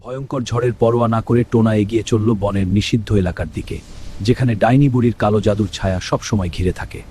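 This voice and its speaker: A man's voice: lowish voice, quick pace, mellow. A man narrating a cartoon fairy tale in a storytelling manner. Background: faint music.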